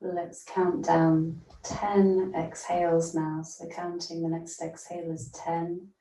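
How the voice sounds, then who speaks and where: A woman teaching yoga nidra, leading a guided meditation in a gentle, soothing manner with long pauses. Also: lowish voice, little pitch movement. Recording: roomy echo, distant from mic.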